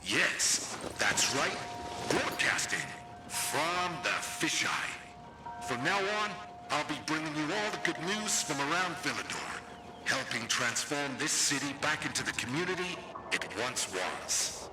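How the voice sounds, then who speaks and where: An actor playing an upbeat, hopeful older radio host in a video game, announcing in a theatrical broadcast style. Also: male voice, a little high, very animated, measured pace. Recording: echoing broadcast with music behind.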